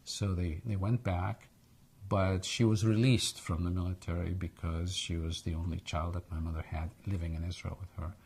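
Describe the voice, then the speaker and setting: An older man from Egypt with a foreign accent, reflecting haltingly in an oral history interview. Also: deep voice, level tone, a little mellow, a little hiss or hum. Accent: foreign English accent.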